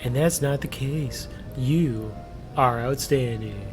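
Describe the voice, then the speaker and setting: A man voicing a smug, teasing captive in a story, slow and condescending, with noise behind him. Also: over music, close to an everyday voice. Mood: sly, cool. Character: smug, teasing male captive with strange spells, slow and mocking. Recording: clear background noise with low rumble.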